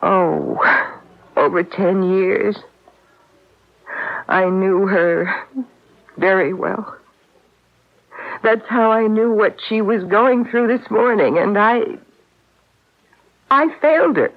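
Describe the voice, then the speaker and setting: An actress playing a guilt-stricken married stage actress grieving her friend, anguished and halting, in an old-time radio drama. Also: mid-range voice. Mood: distraught. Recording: tinny, narrowband radio drama recording.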